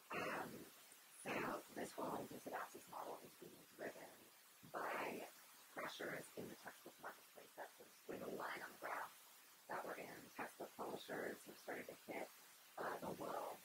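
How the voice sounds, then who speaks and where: A woman's voice: moderate pace, background noise. A young woman giving a conversational keynote talk at a podium on an auditorium stage, speaking into the podium microphone with frequent fillers and restarts.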